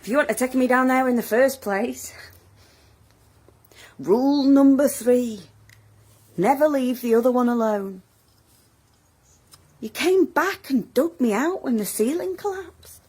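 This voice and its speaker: A woman's voice: high voice, a little slow, pauses often, a little mellow, husky. An actress playing an older, plain-spoken woman in a naturalistic audio drama, wistful and wry, sometimes hesitant. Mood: determined.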